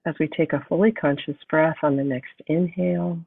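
Woman podcast host, stuffy nasal voice from a cold, calm and slow, tinny phone-like sound from far off the mic. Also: deep voice, creaky, breathy edge, dry recording. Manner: calm, unhurried guidance. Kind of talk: podcast interview and guided meditation.

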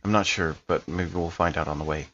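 A man's voice: very deep voice, fairly even intonation, a little fast, fairly bright tone. A man playing a tabletop roleplaying game, casually speaking for his character and describing what he does. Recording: dull, muffled lo-fi microphone.

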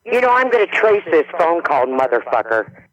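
A man voicing a hostile old woman on a phone call who curses and threatens in drawn-out words. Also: on the high side, sing-song, fairly bright tone, dry recording.

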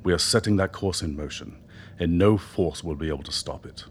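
An actor in a radio drama playing an older doctor, a persuasive political mentor who speaks in measured, eloquent phrases. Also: male voice, sits low, close to an everyday voice. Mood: earnest, coaxing. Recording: noticeable background noise.

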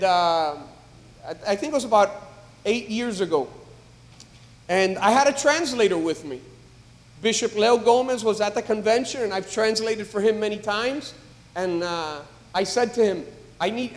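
A man, a pastor, preaching a sermon in a church, building from calm talk to emphatic, loud exhortation. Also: sits high, moderate pace. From United States. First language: English. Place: church sanctuary. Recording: long reverb of a large hall.

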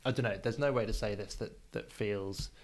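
A man with a low voice co-hosts a casual sports discussion. He thinks aloud, circling and qualifying his points as he builds an opinion. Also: moderate pace. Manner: hedging, thinking aloud as he talks. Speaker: podcast co-host.

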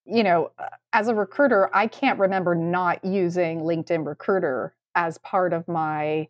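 A woman's voice: fairly low pitch, fairly even intonation, normal speed. A woman co-hosting a podcast in casual, informal, conversational talk with a sardonic edge. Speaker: podcast co-host and recruiter.

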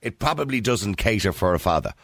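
A man's voice: fairly low pitch, quick pace, dry recording. An Irish radio host runs a phone-in, cutting in and pushing back on his callers. His voice swings widely up and down, and the sound is close and dry. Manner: lively, challenging, jumps in to steer the talk. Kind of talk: talk radio phone-in hosting.

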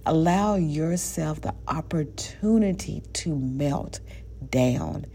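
A woman's voice: sits low, animated, takes it slowly, vocal fry, mellow, slight background noise. A woman in her thirties hosting a faith podcast, speaking earnestly and conversationally with a stuffy nose. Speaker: podcast host.

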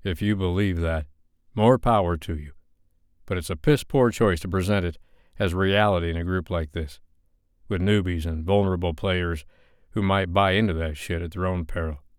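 A man voicing a blunt, stern, irritated man with a deep voice in an audiobook. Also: moderate pace, clean tone, no echo, clean studio sound, natural voice. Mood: angry.

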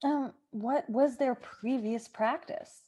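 A woman's voice: on the high side, takes it slowly, mellow, husky. A woman playing a dry, sardonic young college student who babysits, in a comedic radio play. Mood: wary, insistent.

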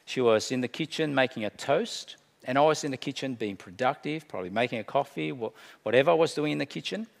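A middle-aged man preaching a sermon, emphatic, swinging between hushed and loud delivery. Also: mid-range voice, fast, creaky, few pauses. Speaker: pastor. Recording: long hall reverb.

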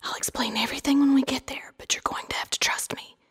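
Storybook aunt, acted by a man: Southern woman in middle age, husky hushed voice, calming yet firm. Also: high voice, measured pace, voice pushed up, full character voice. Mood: hushed, soothing. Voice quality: breathy, hushed, often near a whisper.

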